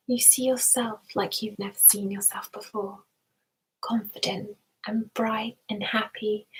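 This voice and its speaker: A woman softly guiding a meditation in an ASMR style, mostly in a breathy whisper, soothing and gentle, often repeating words. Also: medium pitch, low-quality recording. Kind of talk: ASMR guided meditation roleplay.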